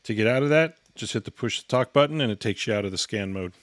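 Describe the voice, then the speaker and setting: A man's voice: on the low side, expressive, fast, dry recording, studio quality. A man giving an instructional product walkthrough in a matter-of-fact, step-by-step way. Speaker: product reviewer and demonstrator.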